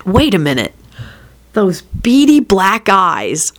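A woman's voice: medium pitch, huge pitch swings, takes it slowly, full character voice. A woman voicing a shy, awkward young male wizard who is touchy and sullen, in an audiobook story. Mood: menacing, snarling.

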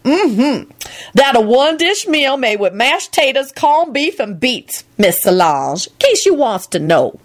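A woman doing a loud, shrieking old cook. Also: high voice, very animated, unhurried, pitched above the performer's own voice. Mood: lecturing. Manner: loud, folksy, ungrammatical talk with shrieks. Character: bossy outspoken older woman cook, folksy and grumbling.

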